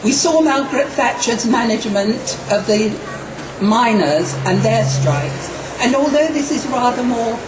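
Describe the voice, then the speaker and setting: A woman, giving a slow, measured, impassioned speech at an outdoor protest rally. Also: on the high side. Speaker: rally speaker. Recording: distant echoey rally recording with noise.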